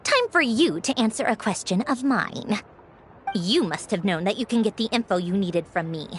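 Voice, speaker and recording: Woman voicing a sharp, dismissive game engineer boss; brisk and wry, pitch moves a lot. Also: lively intonation, natural voice. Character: sharp-tongued female Fatui harbinger engineer, bossy. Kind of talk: game voice acting. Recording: game dialogue over soft music.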